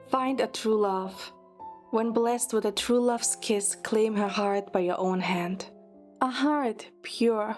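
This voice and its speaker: A woman reading a scripted first-person dramatic narration. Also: on the high side. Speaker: narrator and lead in a fan audio drama. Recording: loud music under the voice. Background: music.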